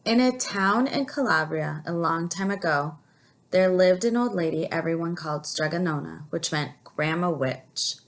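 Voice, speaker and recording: A woman's voice: lowish voice, lively intonation, slight background noise. A woman teacher reading a story aloud to children, explaining and asking questions as she goes. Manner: explanatory, pauses to ask questions. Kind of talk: picture book read-aloud with asides to young listeners.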